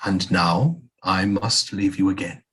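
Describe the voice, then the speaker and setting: Husky ghostly seer played by a man. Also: deep voice, fairly even intonation, takes it slowly, pushed and bright, exaggerated voice. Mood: devoted, encouraging. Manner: courtly, solemn, archaic diction. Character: ghostly old seer, devoted, cryptic counselor to queen. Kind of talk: theatrical audio drama acting.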